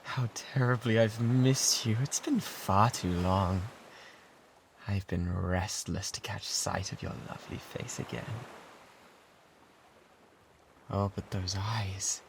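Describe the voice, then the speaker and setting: Breathy British lovesick pirate, acted by a man. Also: fairly low pitch, steady tone, measured pace. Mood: tender longing. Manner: breathy, drifting into whispers, theatrical pleading. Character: lovesick obsessive male pirate, pleading and devoted. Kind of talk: intimate ASMR roleplay acting. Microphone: close binaural microphone. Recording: close binaural recording with background ambience noise.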